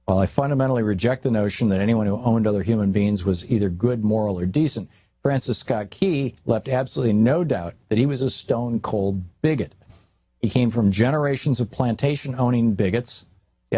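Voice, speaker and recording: A man's voice: lowish voice, brisk, breathy edge. A man hosting talk radio, reading aloud from a newspaper column and commenting on it with emphatic emphasis and conversational asides. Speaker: radio talk show host. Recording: tinny phone-like radio audio, roomy echo.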